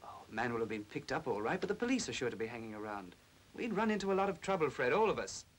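An actor playing a smooth, manipulative upper-class English captain in his thirties in a 1940s British film drama. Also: mid-range voice, very animated, fast. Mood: persuasive. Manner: suave, persuasive. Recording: hissy old film soundtrack.

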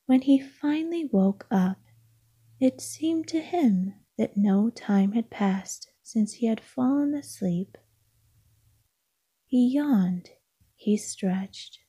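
A woman reading a children's picture book aloud as narrator, in a calming, gentle, hushed bedtime-story manner. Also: on the high side, slow pace, breathy edge.

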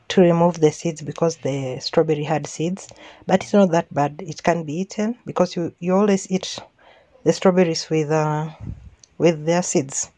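A woman's voice: on the low side, warm tone, no echo. A woman hosting her home cooking channel, talking casually over the footage with frequent restarts and ums.

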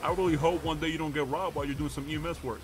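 A man's voice: fairly high pitch, steady tone, racing, noisy background. A man roleplaying a pushy, streetwise, profane character in a game, talking in slang. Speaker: roleplay gamer streaming.

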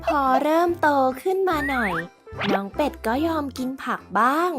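Lady narrating a kids tale, really high voice, clear kiddie music playing behind her. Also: expressive, normal speed. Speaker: storyteller. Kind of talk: scripted children's story narration. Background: children's music.

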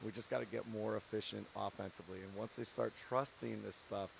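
Low gravelly-voiced coach. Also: male voice, steady tone, a little fast, a little hiss or hum, rough recording. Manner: earnest, candid, laughs between points. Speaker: head basketball coach. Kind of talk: post-game interview. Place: courtside set in an empty arena. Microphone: handheld mic.

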